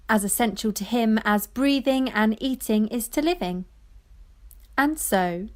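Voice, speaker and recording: An actress playing a fond granddaughter from a fishing family, reminiscing lyrically in a poetic audio play. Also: female voice, on the high side.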